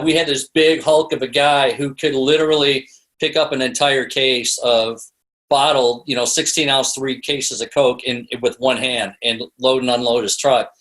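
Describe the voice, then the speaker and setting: A man's voice: medium pitch, fairly bright tone, poor audio. Chatty middle-aged man telling anecdotes. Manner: chatty, rambling, peppered with "you know". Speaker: podcast guest, sales expert and author. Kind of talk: casual anecdotal interview storytelling.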